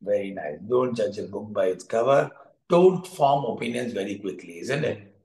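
A man's voice: on the low side, expressive, moderate pace, a little mellow. A middle-aged man with an Indian accent speaks as a coach telling stories to students. He repeats phrases for emphasis and sounds encouraging. His voice rings in an echoey room. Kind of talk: improvised storytelling and online teaching. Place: indoor furnished room with curtains, echoey.

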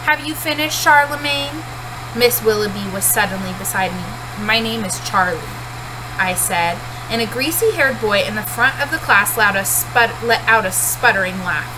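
A woman's voice: medium pitch, lively intonation, normal speed, background noise. A woman in her thirties, a teacher reading a storybook aloud in first-person narration at home. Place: small cluttered room at home, indoors.

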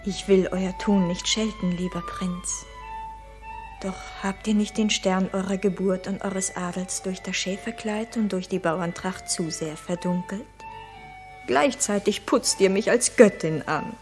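An actress playing a modest, anxious young shepherdess in love, speaking gently and poetically in a 1960s radio drama. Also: female voice, mid-range voice, unhurried, over music, natural voice. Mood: bashful.

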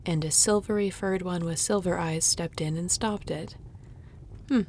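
An actress plays a tough, grumpy shifter woman in an audio roleplay. Her voice sits fairly low and crackles with vocal fry. She is curt, weary and sarcastic but grudgingly caring, with a little background ambience behind her. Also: normal speed, darkish tone, lightly acted. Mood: dry, matter-of-fact. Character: grumpy, tough female shapeshifter guard, begrudgingly caring. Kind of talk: intimate audio roleplay acting.

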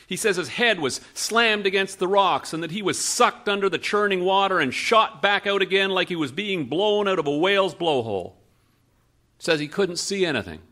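A middle-aged man narrating a true story on a theatre stage, measured and dramatic with pauses, into a stand mic at a lectern. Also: high voice, sharp tone. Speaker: radio storyteller and host.

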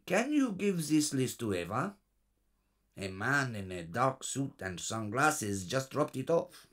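Busy everyday working man, medium pitch, lively inflection, children's audio drama. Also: takes it slowly, full character voice. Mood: busy, preoccupied. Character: busy working men, a dad and driver. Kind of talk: children's audio story acting.

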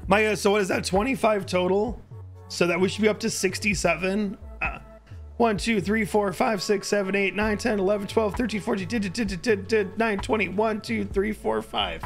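A man in his early thirties with a hoarse voice, chatting casually as a live streamer on a close mic. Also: high voice, steady tone, fairly quick. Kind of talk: casual livestream chat. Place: indoor home streaming room. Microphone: close boom-arm microphone. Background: music.

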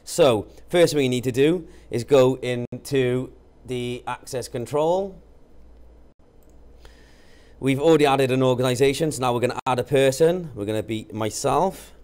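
A man, talking matter-of-factly through steps in an informal software tutorial. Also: medium pitch, a little slow, long pauses. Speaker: presenter of product tutorials. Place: indoor office. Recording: clear background noise.

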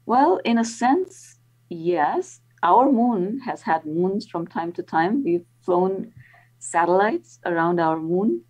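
A woman's voice: lowish voice, darkish tone, poor audio. A woman in her sixties, a planetary scientist, explaining carefully on a video call with frequent ums and restarts. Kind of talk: explanatory interview answers.

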